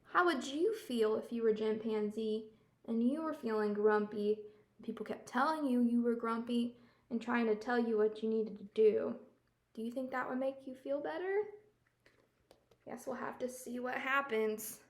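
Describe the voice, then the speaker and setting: A woman storyteller reading a picture book aloud, expressively explaining and asking young listeners questions. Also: a little high.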